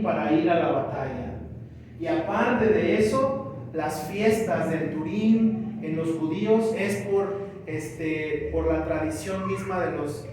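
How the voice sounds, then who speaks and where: Slow-speaking preacher man in an echoey church. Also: a little high, a little mellow, slight background noise. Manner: expository preaching, voicing Paul's words in quotes. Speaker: pastor. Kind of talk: sermon. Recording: echoey church from a distant mic.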